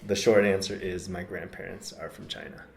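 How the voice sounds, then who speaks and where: Deep-voiced man in a roomy space. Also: little pitch movement, vocal fry, slight background noise. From United States. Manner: thoughtful, measured, with frequent ums and self-corrections. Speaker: podcast guest. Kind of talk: casual conversation. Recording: roomy echo.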